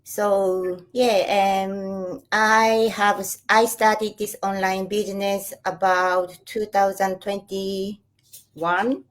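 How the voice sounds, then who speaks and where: A woman's voice: mid-range voice, level tone, extremely slow. A woman from Japan, a guest, introducing herself politely with some hesitations. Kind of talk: self-introduction in a live chat.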